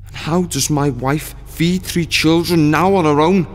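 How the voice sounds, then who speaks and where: A man in an audio play, playing a dead ship's crewman who is a husband and father, brooding and bitter in a slow monologue. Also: a little high, steady tone, lightly acted. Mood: aggrieved. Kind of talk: scripted dramatic monologue. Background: music.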